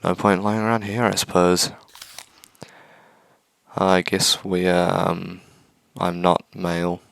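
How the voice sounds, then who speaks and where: Deep, gravelly-voiced man reading aloud. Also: level tone, slow pace, no room sound. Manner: stumbles over words while reading. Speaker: gaming video host. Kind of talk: reading a visual novel aloud with casual asides.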